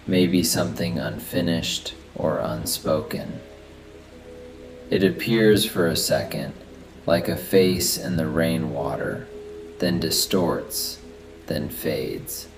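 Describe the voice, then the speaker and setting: A man narrating a guided sleep meditation in a soft, soothing, unhurried manner. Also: rumbling low. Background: steady rain sounds and soft background music.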